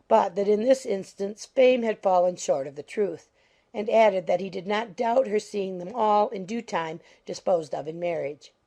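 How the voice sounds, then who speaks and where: A woman's voice: medium pitch, vocal fry, dark tone. A woman narrating a classic novel as a scripted audiobook.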